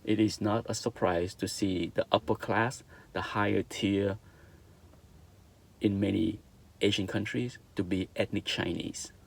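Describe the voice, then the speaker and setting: A man's voice: on the low side, steady tone, measured pace, vocal fry. A middle-aged man from Vietnam with a Vietnamese accent, giving an earnest opinion monologue, repeating his points and checking in with "okay?". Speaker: vlogger.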